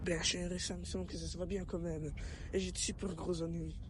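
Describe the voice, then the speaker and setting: A man playing a cheeky high-voiced hedgehog. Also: brisk, background noise, close to an everyday voice. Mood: pleading. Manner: chatty, excitable, wisecracking. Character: cheeky fast young blue hedgehog hero. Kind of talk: fan dub acting. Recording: music playing behind.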